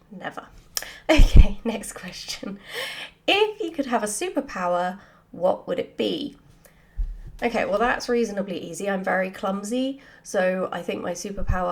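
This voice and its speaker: Woman, mid-pitched voice, casual Q&A chat, animated, giggly, self-deprecating. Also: normal speed, vocal fry. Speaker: writer hosting a follower Q&A. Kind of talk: casual chat to camera, answering questions.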